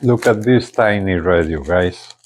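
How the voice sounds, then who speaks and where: A man presenting a casual unboxing video, speaking haltingly and often repeating his words. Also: sits low, a little hiss or hum. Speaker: gadget reviewer, video host.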